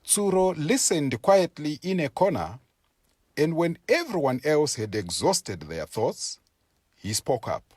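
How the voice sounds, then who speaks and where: A man reading a folktale aloud as a storyteller, with expressive, deliberate and dramatic phrasing for young listeners. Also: on the high side, slightly rough, dry recording, clean studio sound. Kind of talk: expressive scripted story narration for children.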